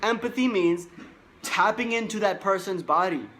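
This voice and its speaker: A man's voice: sits high, moderate pace, a bit bright, a little hiss or hum. A man in his twenties, a life coach giving a boastful, emphatic motivational monologue and repeating himself for stress. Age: 20s.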